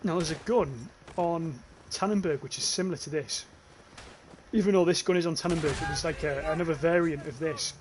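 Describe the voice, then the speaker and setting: Young northern English man, casual livestreamer, chatty and sweary, slightly high voice, clear game noise underneath. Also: brisk. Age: young adult. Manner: chatty, swears casually, reads out chat comments. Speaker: streamer. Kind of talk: casual livestream commentary while gaming.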